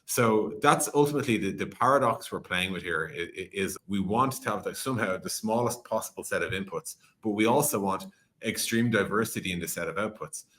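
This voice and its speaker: A man's voice: fairly low pitch, a little fast, a bit bright, a little hiss or hum. A man speaking as a podcast guest in a conversational tech interview, explaining animatedly with analogies and quoted examples.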